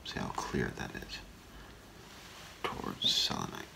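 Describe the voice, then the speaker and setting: A middle-aged man murmurs an ASMR role play in a very low, heavily creaking voice. He speaks slowly and haltingly, often slipping into a whisper, over clear background noise. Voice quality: breathy, whispery, gravelly murmur. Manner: hushed, halting, unhurried. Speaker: ASMR performer role-playing a gemologist. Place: small curtained room indoors.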